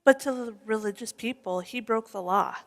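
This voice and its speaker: A woman's voice: a little high, normal speed, gravelly. A woman playing a patient storyteller in a scripted puppet show, explaining things in simple words. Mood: patient, solemn.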